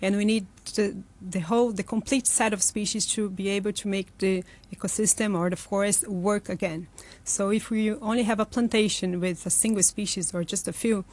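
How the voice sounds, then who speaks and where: A woman's voice: medium pitch, steady tone, moderate pace, hardly any pauses, darkish tone. Brazilian woman explaining forests, hesitant. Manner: thoughtful, many fillers and restarts. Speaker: forest restoration guest. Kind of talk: conversational interview answers.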